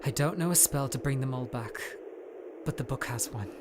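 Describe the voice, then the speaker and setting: An actor playing a grave, weary, desperate spellcaster in a fantasy audio drama, subdued and hesitant. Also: male voice, mid-range voice, moderate pace, natural voice. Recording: noisy ambient background.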